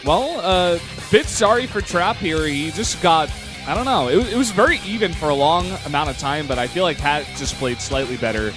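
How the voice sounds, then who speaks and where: High-voiced laid-back male co-caster. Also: animated, moderate pace. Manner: laid-back analysis, chuckles often. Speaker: esports co-caster. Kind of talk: color commentary. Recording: commentary over loud game music.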